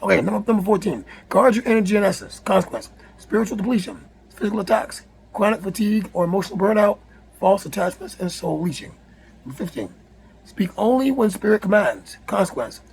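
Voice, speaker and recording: A man with a raspy, hoarse voice, reading a numbered list aloud with casual asides, often stumbling and rereading words. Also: high-pitched, animated, brisk, a little hiss or hum, low-quality recording. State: hoarse from yelling. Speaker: spiritual video host.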